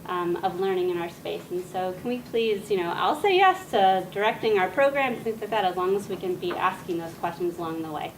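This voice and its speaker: A soft-spoken woman gives a lecture, her quiet voice picked up from a distance. She pauses often with small fillers as she explains. A steady hiss of room noise sits under her words. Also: lowish voice. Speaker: guest speaker. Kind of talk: academic talk to an audience. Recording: distant mic with noisy room.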